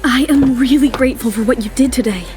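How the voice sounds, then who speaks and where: Actress as headstrong young bride, high voice, dignified, determined, audio drama, quiet music. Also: female voice, fast talker, close to an everyday voice. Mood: grateful, reserved.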